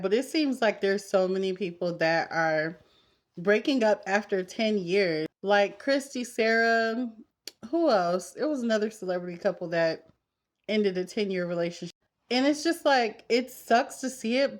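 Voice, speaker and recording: Candid woman in her thirties, casual vlogger. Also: mid-range voice. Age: 30s. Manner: candid, conversational, talking straight to women viewers. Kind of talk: casual confessional chat while getting ready.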